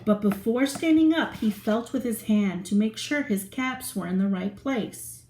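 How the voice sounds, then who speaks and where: Woman, children's storyteller, playful, slow, echoey room. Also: medium pitch, darkish tone, slight background noise. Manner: playful, sing-song, talks to young listeners. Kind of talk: reading a picture book aloud to children.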